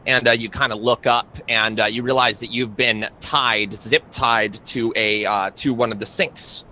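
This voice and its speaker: A man with a bright, forward voice narrates a tabletop horror game as its game master. His pitch sits in the middle range, and he feels his way through descriptions, repeating phrases as he builds the scene. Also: steady tone, normal speed, a little hiss or hum.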